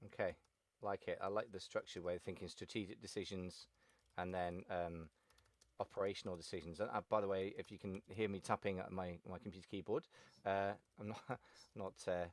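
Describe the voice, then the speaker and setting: Male podcast host, playful and chatty, lowish voice, dry close sound, video call mic. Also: steady tone, a little fast, no room sound. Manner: playful, chatty, jokey openers. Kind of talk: casual podcast interviewing.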